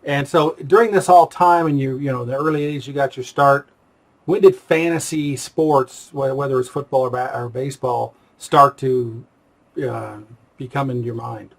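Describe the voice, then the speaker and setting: A man's voice: mid-range voice, steady tone, normal speed, gravelly. A man hosting a casual, conversational interview and putting questions to his guest. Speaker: interviewer, host.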